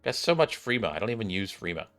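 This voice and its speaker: A man with a fairly low, bright voice hosts a gaming video. He comments casually and chattily on his play, speaking directly to his viewers. Faint game music runs behind him. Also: sing-song, fast. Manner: chatty, addresses viewers as guys.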